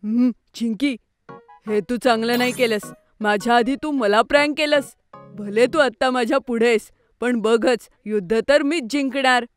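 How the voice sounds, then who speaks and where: A woman plays an eager young schoolboy in a cartoon. The voice is fairly high and boyish, full of exclamations and scheming determination, with music behind it. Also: normal speed, close to an everyday voice. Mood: dismayed, determined. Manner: exclamatory, self-talking. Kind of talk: children's cartoon voice acting.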